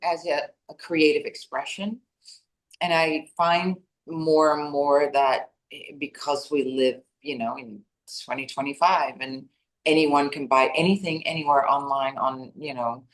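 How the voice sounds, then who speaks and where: A woman, a native Dutch speaker, answering interview questions about her acting work in a lively, chatty way with many restarts. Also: low voice. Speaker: actress and executive producer. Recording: roomy echo.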